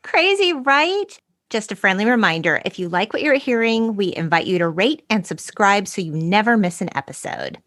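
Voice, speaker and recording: A woman's voice: medium pitch, sing-song, normal speed, a bit bright, no echo. Female co-host, forties, mid-range voice in a dry studio-like sound, casual and emphatic conversation. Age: 40s. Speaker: podcast co-host. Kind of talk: casual chat with a friend, some scripted intro.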